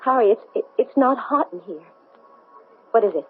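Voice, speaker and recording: A woman's voice: a little high, animated, normal speed, phone-quality sound, soft background music, lightly acted. An actress playing an anxious woman in a 1970s radio drama. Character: Margot, anxious woman freed from an alien. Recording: thin band-limited 1970s radio broadcast.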